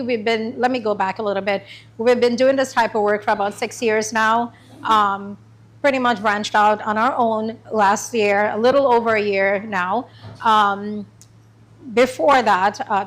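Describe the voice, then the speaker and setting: A middle-aged woman from Trinidad and Tobago giving a chatty, informal talk about her business to a small group, with many pauses and ums. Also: mid-range voice, fairly bright tone. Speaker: small business owner presenting. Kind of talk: informal pitch presentation. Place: meeting room.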